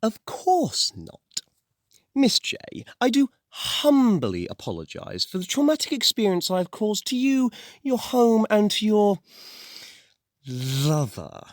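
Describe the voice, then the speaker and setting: A man's voice: high voice, wildly swooping pitch, slow pace, dry recording, clean studio sound, exaggerated voice. A man playing a cowardly young mafia boss in an audio drama, stammering, blustering and nervously polite. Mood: nervously placating. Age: young adult. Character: cowardly loudmouthed young male mafia don, fawning and flustered. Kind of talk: audio roleplay acting.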